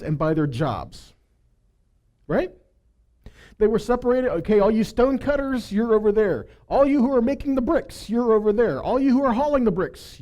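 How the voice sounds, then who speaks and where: A man's voice: sits high, dark tone. A man giving a conversational sermon as a preacher, teaching with rhetorical questions and frequent check-in tags.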